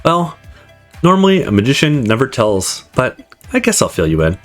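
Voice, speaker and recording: Guy reading confident chatty student hero in a visual novel, bouncy pitch, soft music. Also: sing-song, moderate pace, close to an everyday voice. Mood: breezy, boastful. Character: confident, upbeat male student narrating his life story. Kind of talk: visual novel narration read aloud. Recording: music playing behind the voice.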